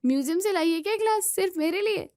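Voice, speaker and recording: A woman's voice: very high-pitched, lively intonation, fast, a little mellow, dry recording, studio quality, pitched above the performer's own voice. A woman voicing a teasing, mocking young woman visiting her friend in a family drama story. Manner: cheeky, mocking.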